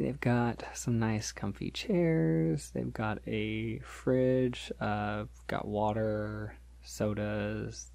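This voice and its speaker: Polite male vampire, played by a man, ASMR roleplay: slow, halting, reassuring, soft with some vocal fry. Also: fairly low pitch, no echo, full character voice. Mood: chatty, relaxed. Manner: hesitant, halting, gentle, reassuring, with small nervous chuckles. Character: polite, shy, considerate male vampire on a first feeding date.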